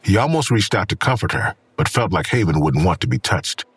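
Very deep male drama narrator. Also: big pitch swings, a little fast, a bit bright, slightly rough, no echo. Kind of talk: scripted audio drama narration.

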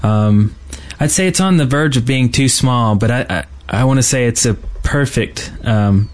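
A man's voice: medium pitch, measured pace. A man co-hosting a casual gaming podcast, talking in a hesitant, rambling way with trailing thoughts. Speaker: podcast co-host.

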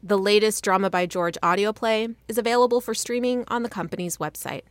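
A woman radio reporter delivers scripted news narration at a medium pitch. The sound is very dry, as if close and free of any room. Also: fairly quick, clear, resonant tone, no room sound.

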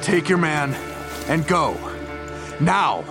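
A man's voice: on the high side, very animated, takes it slowly, gravelly, music in the background, heavily acted. A stern, menacing tough guy. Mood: commanding. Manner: stern, threatening. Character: Blossom, menacing protective man defying his attackers. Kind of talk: audio drama acting.

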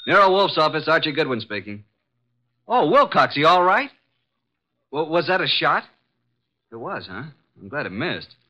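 An actor playing a brash, eager young detective's assistant in old radio drama, with slangy, wisecracking talk. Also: male voice, fairly high pitch, big pitch swings, normal speed, long pauses, bright, forceful tone, dull audio, heavily acted. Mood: excited.

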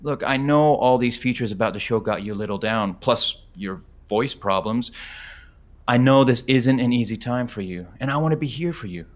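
An actor playing an eager, guileless young stage actor, earnest and solicitous, in comic audio drama. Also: male voice, mid-range voice, animated, normal speed, close to an everyday voice. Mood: concerned, eager. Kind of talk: comedic audio drama dialogue.

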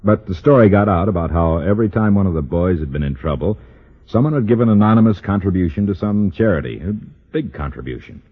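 A breezy, cynical American newspaperman in a 1950s radio drama. His voice is low and husky, with slangy, dismissive delivery. The vintage recording is narrow and phone-like. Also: lively intonation, fast talker. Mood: scornful.

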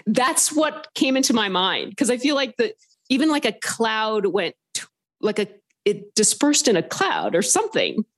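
A woman from Southern California calling in to a podcast, telling her story in an animated, rambling way full of filler words. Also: on the high side, normal speed. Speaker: caller sharing a paranormal story. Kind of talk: casual storytelling on a phone-in podcast.

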